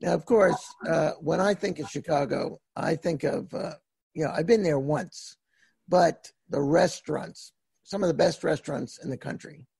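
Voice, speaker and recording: A man with a high, gravelly voice interviews a guest on a podcast. His voice is breathy and a little husky, and it sounds dry and close, with no room around it. He asks chatty questions and often stops and restarts mid-sentence. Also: a little mellow, dry recording. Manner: folksy, halting questions with many restarts. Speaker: podcast host.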